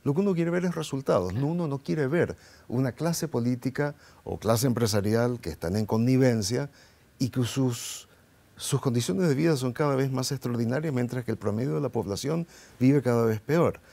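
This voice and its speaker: A middle-aged man with a dark, mellow voice talks as an interview guest. He argues with passion and keeps asking rhetorical questions. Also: medium pitch, normal speed, gravelly. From Paraguay. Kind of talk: interview, political commentary.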